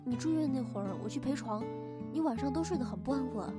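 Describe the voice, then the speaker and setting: A woman voice actor playing a caring, bossy young friend with a sharp tongue in an audio drama. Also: high voice, animated, fast. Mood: concerned, insistent. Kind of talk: Chinese audio drama acting. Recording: prominent acoustic guitar music behind.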